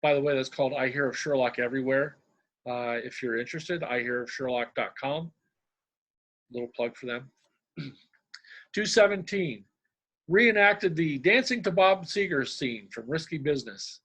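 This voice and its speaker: A middle-aged man from Indiana, a humor columnist with a deadpan manner, sharing his work. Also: medium pitch, normal speed. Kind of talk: reading a humorous diary-style column, then casual talk.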